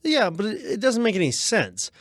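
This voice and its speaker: A male co-host in a scripted radio comedy bit, picking at details with dry quips. The voice is fairly high and very animated, in a dry studio. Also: moderate pace, no echo.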